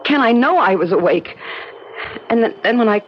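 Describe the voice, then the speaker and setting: An actress as a frightened wife in an old radio mystery, halting and insistent. Her voice swings up and down in pitch as she stammers anxiously. The sound is muffled, with clear noise under it. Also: expressive, natural voice. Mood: shaken, insistent. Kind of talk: 1970s radio drama acting. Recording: noisy, muffled old radio broadcast recording.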